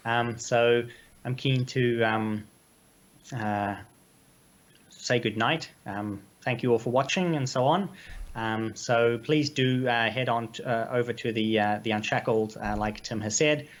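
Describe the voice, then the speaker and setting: Slightly hoarse New man, halting. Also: mid-range voice, steady tone. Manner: halting, heavy with hesitations. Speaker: co-host of a political livestream. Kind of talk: casual political talk.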